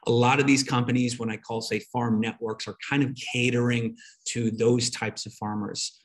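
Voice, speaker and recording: Male online presenter, mid-pitched, conversational explainer delivery for a slide webinar on a video call. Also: little pitch movement, normal speed.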